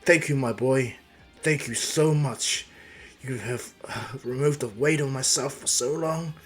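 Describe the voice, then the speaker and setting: A man voicing a big, exuberant, warm-hearted male superhero in a fan story, hearty and easily flustered. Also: mid-range voice, a little slow, faint music behind, natural voice. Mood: grateful. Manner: loud, hearty, stammers when flustered.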